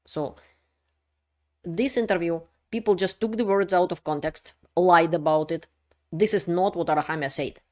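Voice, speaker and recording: A woman's voice: lowish voice, moderate pace, a little mellow. Earnest woman with non-native English. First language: not Ukrainian. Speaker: political commentary vlogger. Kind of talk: unscripted commentary to camera.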